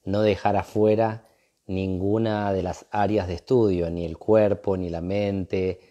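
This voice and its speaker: A man hosting a live video-call interview, talking in a relaxed, reflective, rambling way. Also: low voice, unhurried. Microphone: phone on a video call. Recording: dull lo-fi video-call audio.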